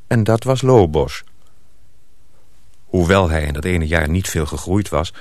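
A male narrator tells the story in a radio play. His voice sits a little low. The delivery is scripted narration between dramatic scenes. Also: a little mellow, no room sound.

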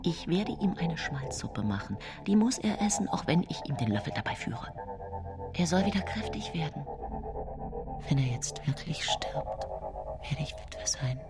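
An actress playing a scheming, sensual nineteenth-century widow in a radio drama monologue, intimate and breathy in her confiding. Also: sits low, sing-song, measured pace, in a whisper, heavily acted. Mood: quietly calculating. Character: scheming early-19th-century German widow, sensual and self-satisfied. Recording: music bed behind the voice. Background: music.

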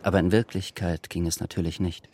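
A man narrating a literary radio drama in a measured voice. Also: sits low, fairly even intonation, darkish tone, a little hiss or hum, natural voice.

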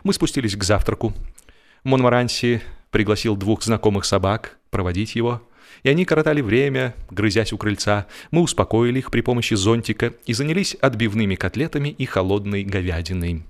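A man reading a humorous literary story as an expressive narrator, with a theatrical, mock-solemn delivery. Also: lowish voice, fairly quick. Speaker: audiobook narrator.

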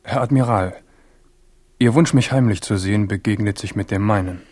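An actor playing an ardent, proud young Huguenot prince in a German radio drama, impassioned and theatrical. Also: male voice, lowish voice, moderate pace, a little mellow, natural voice. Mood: proud, bold.

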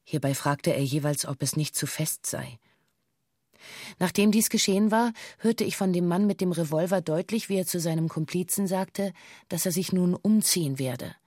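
An actress playing a bank employee who gives a sober, formal witness statement in a radio drama, factual and detached. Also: female voice, on the low side, normal speed, dark tone, no room sound, clean studio sound, close to an everyday voice. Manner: factual, detached, precise official phrasing. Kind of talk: scripted documentary radio drama, formal testimony.

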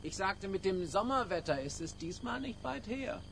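A tipsy, insinuating stranger at night in a radio drama. The voice is fairly high and extremely animated in pitch, loose and teasing. Faint insect noise is behind it. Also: male voice, normal speed, creaky, heavily acted. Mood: sly, tipsy. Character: tipsy, prying, sly male stranger on a dark hotel veranda.

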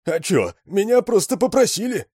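A man's voice: sits high, sing-song, normal speed, darkish tone, slightly rough, no echo, studio quality, voice pushed up, exaggerated voice. A man voicing a whiny, cowardly young male gang underling who whines and pleads. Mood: pleading. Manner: whining, pleading.

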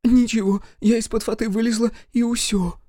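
A shrill wailing village bride. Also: male voice, flat delivery, moderate pace, warm tone, dry recording, studio quality. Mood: plaintive. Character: weepy young village bride, wailing and pleading.

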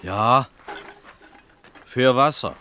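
An actor in a radio play voicing an earnest, stubborn village potter with a plain, hesitant yet insistent way of talking. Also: male voice, mid-range voice, extremely slow, background noise. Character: earnest, stubborn young village potter searching for his cousin.

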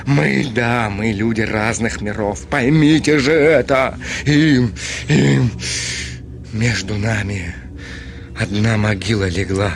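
Раздражённого, измученного гордого господина. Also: male voice, fairly low pitch, fairly even intonation, takes it slowly, creaky. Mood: exasperated. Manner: agitated, accusatory, emphatic repetitions. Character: irritable, proud, tormented Russian gentleman, middle-aged. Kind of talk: Russian radio play acting.

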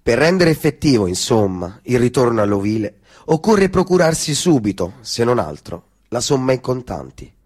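A man's voice: medium pitch, big pitch swings, moderate pace, few pauses, darkish tone, husky. A man performing theatrical narration. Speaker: stage performer.

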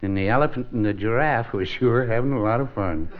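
A man's voice: medium pitch, brisk, lightly acted. An older man acting a wisecracking father, a former vaudevillian, in a 1950s radio comedy. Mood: reminiscing. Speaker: supporting comic actor. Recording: muffled, noisy 1950s radio broadcast. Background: studio audience.

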